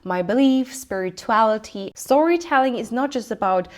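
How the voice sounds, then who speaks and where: A young woman from Switzerland with a Swiss German accent, a lifestyle vlogger calmly introducing herself in gentle, reflective speech with slightly non-native phrasing. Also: a little high, moderate pace. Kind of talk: scripted personal introduction.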